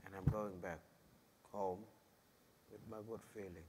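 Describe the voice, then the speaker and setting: A man from northeastern Arnhem Land whose first language is Wagilak, speaking in short, halting phrases on a stage panel. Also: deep voice, fairly even intonation, background noise. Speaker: ceremonial song performer on a panel. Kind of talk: panel talk. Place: concert hall stage.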